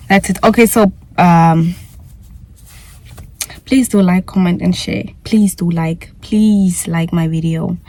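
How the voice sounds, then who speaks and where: A woman's voice: mid-range voice, darkish tone, dry recording. A young woman from Namibia with a Namibian English accent, chatting casually in a vlog Q&A and repeating herself often. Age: young adult. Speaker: YouTuber.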